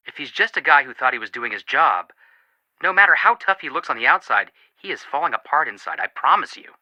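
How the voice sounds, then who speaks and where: An actor playing an earnest, sympathetic young amateur detective in scripted video game dialogue. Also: male voice, mid-range voice, very animated, fairly quick, sharp tone, no room sound, lo-fi sound. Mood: earnest, reflective.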